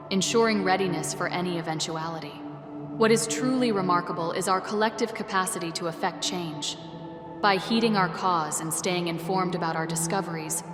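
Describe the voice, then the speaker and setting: A woman's voice: fairly low pitch, fairly bright tone, close to an everyday voice. Woman as a solemn otherworldly guardian, heavily reverberant. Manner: formal, grandiose, measured. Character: solemn, benevolent female otherworldly guardian messenger. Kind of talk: scripted solemn proclamation. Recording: echoey, long reverb, faint music behind.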